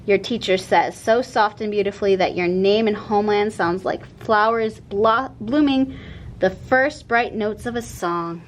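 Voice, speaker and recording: A woman narrating a children's picture book in an expressive read-aloud style. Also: a little slow. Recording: noticeable background noise with low rumble.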